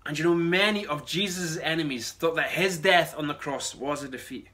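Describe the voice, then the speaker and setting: Higher-pitched man preaching earnestly. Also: normal speed, pushed and bright. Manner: earnest, emphatic, repeats key phrases. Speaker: pastor. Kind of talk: short sermon address to camera. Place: small room at home, indoors.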